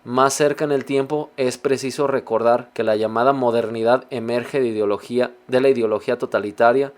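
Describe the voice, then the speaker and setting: A man hosting a reaction video, reading passages aloud and commenting on them in a measured, reflective way. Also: mid-range voice, steady tone.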